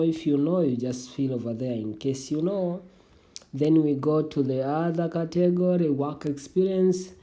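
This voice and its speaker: A young adult speaker whose first language is Swahili explains an online form step by step in a casual, halting way. The voice is deep-toned and mellow, sitting at a middle pitch. They restart sentences often and address listeners as family. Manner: halting, with frequent restarts, calls viewers "family". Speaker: tutorial vlogger. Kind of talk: casual screen walkthrough of an online application.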